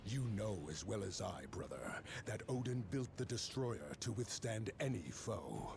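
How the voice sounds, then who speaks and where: Game hero: steadfast divine warrior, formal and grave, lowish voice with creaky edge. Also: male voice, big pitch swings, unhurried, music in the background, exaggerated voice. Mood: solemn. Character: steadfast, solemn warrior prince of gods. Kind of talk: video game cutscene acting. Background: noisy ambience.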